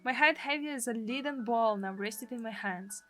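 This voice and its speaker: Bright, high-voiced woman narrating. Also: moderate pace, faint music behind. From Russia. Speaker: gaming video host reading aloud. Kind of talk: expressive story narration.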